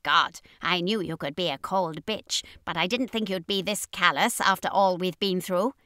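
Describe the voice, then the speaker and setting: A woman's voice: fairly low pitch, moderate pace, sharp tone, no room sound, studio quality. A woman reading a storybook, voicing a principled, indignant Martian.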